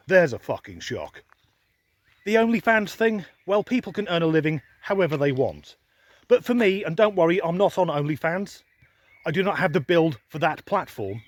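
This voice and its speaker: A man's voice: high-pitched, dry recording. An English man vlogging to a handheld camera, grumbling with dry, sweary humor. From England. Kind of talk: sardonic vlog monologue. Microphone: handheld camera filming himself.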